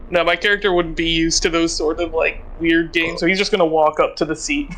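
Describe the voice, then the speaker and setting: A man's voice: a little high, steady tone, brisk, bright, forceful tone, low-quality recording. A man playing a tabletop role-playing game, describing his part in a casual, matter-of-fact way.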